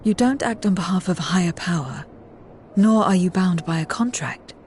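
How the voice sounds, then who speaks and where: A woman acting a solemn, detached questioner in a video game cutscene, speaking in a measured, formal, probing way. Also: lowish voice, animated, darkish tone, slight background noise, lightly acted. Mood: probing, puzzled.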